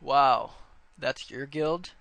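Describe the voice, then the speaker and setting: A man's voice: mid-range voice, extremely slow, gravelly, a bit bright, dry recording, exaggerated voice. A man playing a petulant, scatterbrained internet radio host in a comedy sketch, distracted, childish and defensive. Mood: puzzled.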